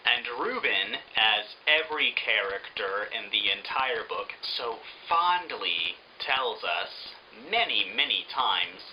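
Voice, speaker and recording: A man's voice: medium pitch, bright, forceful tone, slight background noise, rough recording. A man giving an opinionated book review in a sarcastic, emphatic manner.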